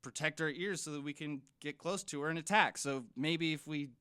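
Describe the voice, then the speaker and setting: A woman's voice: deep voice, fairly quick, a bit bright, smooth, no echo, studio quality. A young woman playing an eager, dramatic teenage friend in an audio drama, earnest and insistent.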